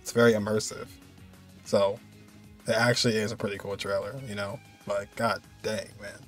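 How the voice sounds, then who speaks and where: Low-pitched young man, casual reactor. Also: little pitch movement, normal speed, soft background music. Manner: rambling, enthusiastic, repeats himself. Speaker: reaction video host.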